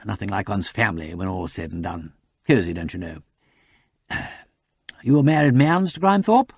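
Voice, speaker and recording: A man voicing a breezy, polite aristocratic gentleman in a story, rambling on in an upper-class English accent. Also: on the low side, lively intonation, normal speed, creaky, breathy edge, dry recording, clean studio sound, heavily acted. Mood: affable, nervous.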